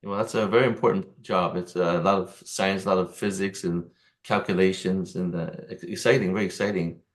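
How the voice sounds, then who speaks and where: A man's voice: low-pitched, steady tone, a little fast. A man hosting an interview, supportive and stumbling over words as he responds. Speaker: interview host. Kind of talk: casual interview chat.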